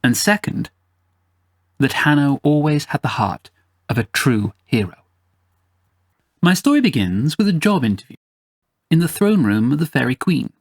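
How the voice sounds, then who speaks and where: A man's voice: fairly high pitch, very animated, moderate pace, pauses often. A man voicing a boastful leonine beast who narrates a fantasy story in formal, self-important, theatrical phrasing. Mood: confiding, self-important. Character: boastful leonine beast bard, grandiose self-styled honest chronicler.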